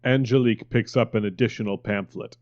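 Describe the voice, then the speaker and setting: A man narrates scripted stage directions in a deep voice. His delivery is deadpan. The recording is a little dull and lo-fi. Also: brisk.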